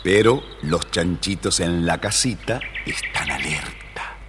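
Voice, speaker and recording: Deep-voiced man narrating, long reverb. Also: animated, slow. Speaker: storyteller narrator. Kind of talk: dramatic scripted children's narration. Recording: reverberant, slightly noisy story record.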